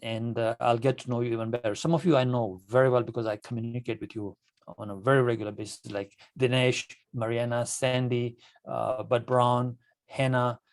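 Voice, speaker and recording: A man from Kenya, a native Swahili speaker, addressing club members informally in a halting, repetitive, emphatic manner. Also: mid-range voice, little pitch movement, no room sound. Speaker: club leader addressing members at an online meeting. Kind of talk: informal motivational meeting talk.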